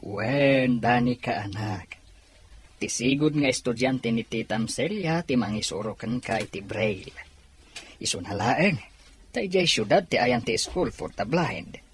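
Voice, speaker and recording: Male actor as gentle father, middle-aged, husky voice, very expressive intonation, radio drama dialogue. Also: medium pitch, a little slow. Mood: gentle, reassuring. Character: caring father of a blind daughter.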